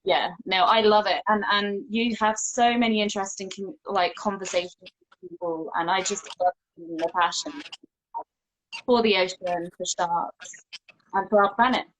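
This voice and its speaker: Young female guest from France, mid-pitched, conversational, keen and sincere. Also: fairly even intonation, moderate pace, fairly bright tone, a little hiss or hum, low-quality recording. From Brittany, France. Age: young adult. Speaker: podcast guest, marine researcher. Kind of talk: casual conversational interview.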